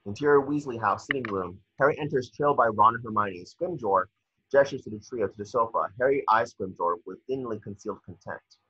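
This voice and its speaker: A man with a husky voice reading screen directions as narrator at a table read over a video call. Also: lowish voice, a little fast, pushed and bright, no echo. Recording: muffled lo-fi video call audio.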